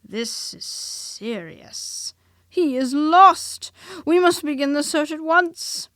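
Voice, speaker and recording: A woman's voice: a little high, very animated, moderate pace, no room sound, clean studio sound. A woman voicing a fussy, self-important male rabbit who speaks emphatically. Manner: bossy and emphatic.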